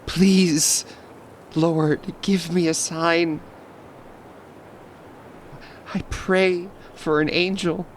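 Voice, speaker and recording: Man playing a slow, despairing devout sufferer. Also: on the high side, little pitch movement, a little hiss or hum. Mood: anguished. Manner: solemn, formal, halting. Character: suffering, devout, despairing man praying through long illness. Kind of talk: fan radio play monologue.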